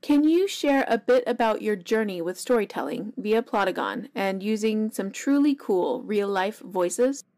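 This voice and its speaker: A woman's voice: medium pitch, lively intonation, measured pace, hardly any pauses, dark tone. A woman acting as interview host, reading out scripted questions to a guest.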